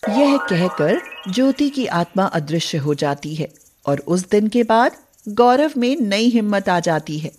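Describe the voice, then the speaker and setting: Slow-paced woman narrating a story. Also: mid-range voice.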